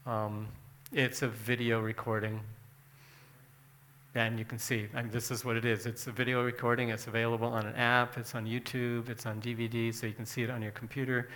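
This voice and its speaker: A man's voice: medium pitch, little pitch movement, brisk, a bit bright. A man giving a casual, conversational presentation with slides to an audience. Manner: plain conversational explaining.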